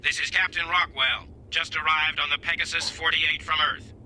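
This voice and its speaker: An actor playing a mocking young man in his twenties in a radio drama, brisk and coolly taunting. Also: a little high, little pitch movement, pushed and bright, poor audio. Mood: brisk, official. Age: 20s.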